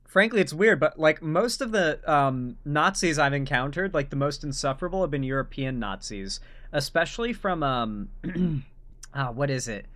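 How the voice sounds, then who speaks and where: A man's voice: on the high side, expressive, normal speed, a bit bright. Ranting man doing reaction commentary. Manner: emphatic, ranting, mocking. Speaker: commentary host.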